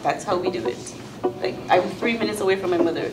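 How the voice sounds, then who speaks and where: A woman talking casually and briskly about family meals on a panel. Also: fairly low pitch, reverberant, recorded from a distance, over loud music, rough recording. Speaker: panelist, mother. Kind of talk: panel discussion. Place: meeting room.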